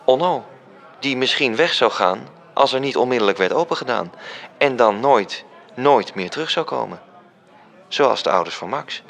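A man from the Netherlands performing as a literary narrator in a radio play, telling the story in a measured way. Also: medium pitch, expressive, creaky, a bit bright. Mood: measured, reflective.

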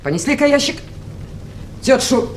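An actress playing a fussy, commanding young station worker in a radio play. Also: female voice, high-pitched, very animated, moderate pace, background noise. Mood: bossy, irritated. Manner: sharp, commanding. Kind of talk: 1980s Soviet radio play acting.

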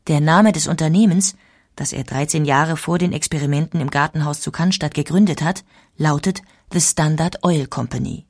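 A woman's voice: sits low, normal speed, no echo, studio quality. A woman narrating a documentary audiobook with dramatic emphasis.

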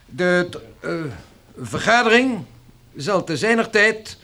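A man's voice: high voice, animated, slow pace, bright, forceful tone, heavily acted. A man acting in a radio drama as a stiff, officious army colonel who briefs listeners in pompous official language.

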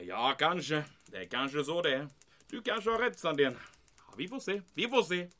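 An actor playing an agitated, self-important German military commandant in a comic audio drama, speaking formally and emphatically with German words mixed in. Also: male voice, fairly high pitch, expressive, pushed and bright. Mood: troubled, evasive.

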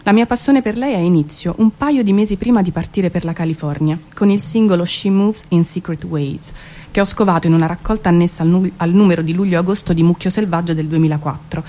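A woman's voice: on the low side, mellow. A woman giving a scripted literary reading of her own poetic prose, like a theatrical monologue. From Italy.

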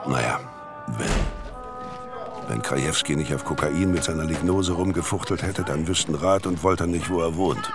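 A man's voice: extremely low pitch, moderate pace. A man playing the knowing, ironic narrator of a crime radio drama, reading scripted narration. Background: music.

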